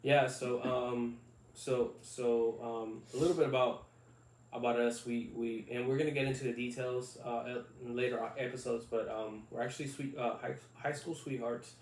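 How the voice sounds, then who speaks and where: Flat-voiced man on an echoey podcast. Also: mid-range voice. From Puerto Rico. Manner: halting, many fillers and restarts. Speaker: podcast co-host. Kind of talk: casual chat. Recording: echoey room, mic at a distance, faint noise.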